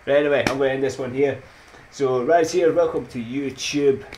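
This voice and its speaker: A man's voice: medium pitch, a little fast, noisy background. A Scottish man in his thirties chatting casually to his viewers in a rambling, upbeat way, laughing at his own jokes and dropping motivational sayings. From Scotland. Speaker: YouTuber. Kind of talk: casual chatty vlog outro. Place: small dim room at home.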